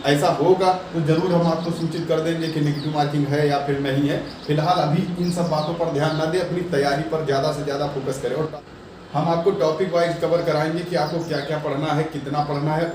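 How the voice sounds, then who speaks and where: A man giving informal exam updates and advice as a coaching teacher, repeating his points in a reassuring way. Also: a little high. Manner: repetitive, reassuring coaching talk. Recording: very echoey room, distant mic, noisy.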